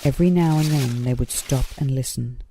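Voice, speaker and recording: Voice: mid-range voice, fairly quick, dark tone, a little hiss or hum. A narrator reading a children's story aloud from a script.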